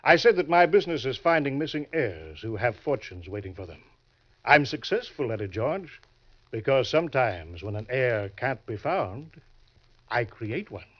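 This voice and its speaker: An actor playing a crafty, genial older swindler in an old-time radio crime drama, speaking in a suave, deliberate way. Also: male voice, mid-range voice, sing-song. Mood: sly, measured. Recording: dull vintage radio recording.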